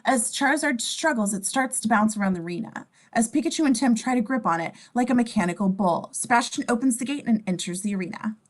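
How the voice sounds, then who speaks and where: A woman's voice: fairly high pitch, lively intonation, fast talker, hardly any pauses. A woman narrating scene descriptions in an amateur script reading, sometimes stumbling and correcting herself. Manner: stumbles over words and self-corrects.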